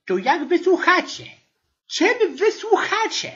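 A woman's voice: very high voice, sing-song, slow pace, a bit bright, lo-fi sound, exaggerated voice. A woman playing an exasperated female English teacher in an amateur comedy audio sketch, whining and grumbling as she scolds her class. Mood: flustered, exasperated.

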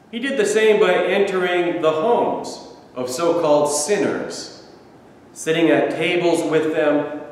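A man in his sixties, a pastor giving a measured, emphatic sermon with deliberate pauses, filmed by a camera across a small church sanctuary. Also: fairly high pitch. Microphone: far camera. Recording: echoey church from far off.

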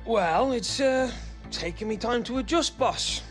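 A cocky young footballer in a game cutscene, his voice high for a man. He talks in a cheeky, laddish way, eager underneath, over clearly audible music. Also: unhurried. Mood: defensive. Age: young adult. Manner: informal, laddish. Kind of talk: video game cutscene acting.

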